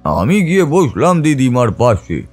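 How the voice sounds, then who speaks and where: A man reads a scripted audio story at a slow pace in a mid-pitched voice. His delivery is measured and dramatic, in a literary first-person style, with music underneath. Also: sing-song. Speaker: story narrator. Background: background music.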